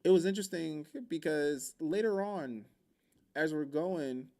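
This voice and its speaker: A man from Hawaii telling a story in a casual, joking podcast chat, animated and full of vocal sound effects. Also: on the high side, unhurried, creaky. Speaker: podcast speaker.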